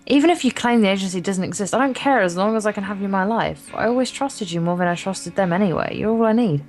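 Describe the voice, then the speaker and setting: A woman's voice: medium pitch, lively intonation, fast, darkish tone, soft background music, close to an everyday voice. A woman voicing an earnest, lovestruck, deluded teenage schoolgirl in a visual novel. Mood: smitten, hopeful.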